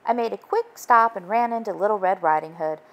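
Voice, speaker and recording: A woman's voice: mid-range voice, fairly quick, muffled recording. A woman narrating a children's storybook as a read-aloud. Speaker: storybook reader.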